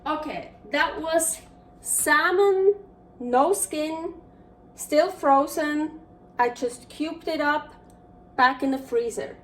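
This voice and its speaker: High-voiced Swiss woman, slow and chatty. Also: far from the mic, slight background noise, low-quality recording. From Switzerland. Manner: chatty and rambling, many fillers and restarts. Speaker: cooking show host. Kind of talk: casual cooking vlog chat. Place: home kitchen.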